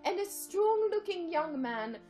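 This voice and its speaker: A woman's voice: very high voice, very animated, a little slow, dark tone, faint music behind, pitched above the performer's own voice, exaggerated voice. A woman putting on the voice of a prim elderly British lady to read a story aloud, with a put-on British accent and a theatrical manner. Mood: storytelling. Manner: theatrical, giggling.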